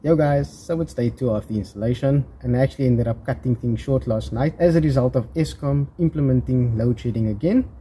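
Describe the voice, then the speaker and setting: A man's voice: mid-range voice, fairly quick, hardly any pauses, noisy background. A man with a South African accent giving a casual, hands-on DIY tutorial, talking informally to his viewers. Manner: informal, addresses viewers as guys. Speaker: presenter of a DIY installation tutorial.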